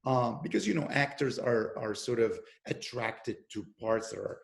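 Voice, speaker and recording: A man talking in a relaxed interview, telling stories with lots of fillers and restarts. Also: medium pitch, moderate pace, creaky. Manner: animated storyteller with many fillers and restarts. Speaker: actor and podcast guest. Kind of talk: casual interview conversation. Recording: echoey room.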